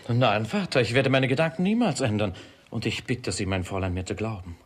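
Man as a breathy, courtly young lover. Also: on the low side, brisk, natural voice. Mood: defiant. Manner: courtly, impassioned. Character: ardent, defiant young gentleman in love. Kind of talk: classic comedy radio play acting.

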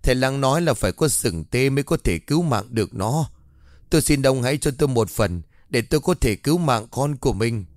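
A man's voice: medium pitch, animated, brisk, a little mellow, no echo, clean studio sound, lightly acted. A man voicing a desperate poor mother who pleads and wails for her sick son. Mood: pleading. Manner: wailing, pleading.

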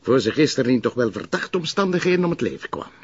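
A patient, principled Flemish police officer with a husky, mid-pitched voice. He talks in a measured, dryly ironic way. The recording is a little muffled. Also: big pitch swings, exaggerated voice. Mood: businesslike. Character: principled, patient male police officer, mildly reproachful colleague. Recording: muffled drama soundtrack.

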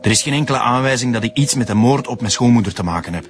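A man's voice: mid-range voice, expressive, very fast, over music, lightly acted. An actor playing a slippery, indignant murder suspect protesting his innocence in a Flemish radio drama. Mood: insistent. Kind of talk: naturalistic radio drama acting.

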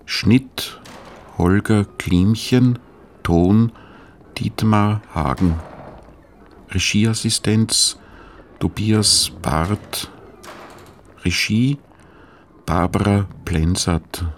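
A man reading scripted radio announcements and credits in a measured, sober manner. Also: lowish voice, a little hiss or hum.

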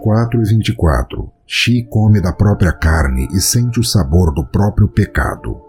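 A man with a Brazilian Portuguese accent narrates a scripted horror story in a measured, solemn, dramatic way. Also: deep voice, big pitch swings, a little mellow, faint music behind. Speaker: podcast narrator.

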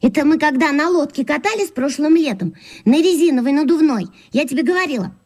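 A woman's voice: high-pitched, lively intonation, brisk, a little mellow. An actress playing a chatty, bossy young schoolgirl in a children's radio play, pert and know-it-all.

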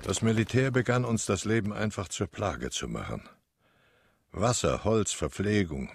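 An actor playing a stern village chronicler of life under occupation, narrating in literary radio drama style. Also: male voice, low-pitched, slightly rough, no echo, studio quality, full character voice. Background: faint music.

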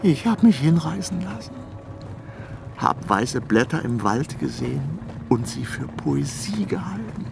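A man's voice: a little high, very animated, measured pace, gravelly, music in the background, full character voice. A man playing a wry, rueful old poet who muses aloud with self-mockery in a radio play. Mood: rueful musing.

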